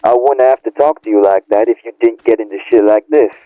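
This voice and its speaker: A man's voice: fairly low pitch, a little fast, no echo. A man acting a bitter, irritated young friend in an audio roleplay, scolding in profane slang through a phone-like filter. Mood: angry, blaming. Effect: phone or radio filter. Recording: narrow tinny phone-like band.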